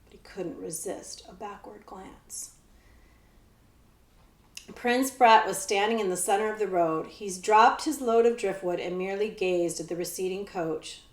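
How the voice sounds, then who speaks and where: A woman's voice: medium pitch, a little slow. Woman reading aloud in an echoey room. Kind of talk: children's storytelling with casual explanations.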